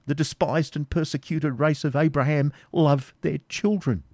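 A man's voice: fairly high pitch, steady tone, normal speed, a little mellow, dry recording, studio quality, pitched above the performer's own voice. A man voicing a frail, desperate old father who pleads passionately for his daughter. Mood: pleading. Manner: impassioned, imploring.

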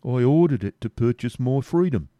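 A man's voice: mid-range voice, lively intonation, moderate pace, mellow, dry recording, voice pushed up, lightly acted. A man voicing a surly, honest servant who answers doggedly. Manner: dogged, grumbling.

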